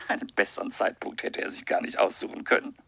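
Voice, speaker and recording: Ein Schauspieler spielt in einem Krimi-Hörspiel einen weltgewandten Zyniker, der pointiert, theatralisch und mit bedachten Pausen spricht. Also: male voice, sharp tone, no room sound, highs cut off, lightly acted. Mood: cynical, musing. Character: worldly, cynical informant discussing a gambler's downfall. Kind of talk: German radio crime play acting.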